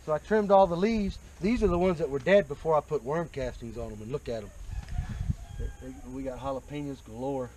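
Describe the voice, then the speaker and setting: A man's voice: medium pitch, a little fast, warm tone, a little hiss or hum, low-quality recording. An American man chatting casually and explaining outdoors on a farm, often repeating his phrases, picked up by a nearby action camera. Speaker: homesteader showing his farm. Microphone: picked up by a nearby handheld action camera.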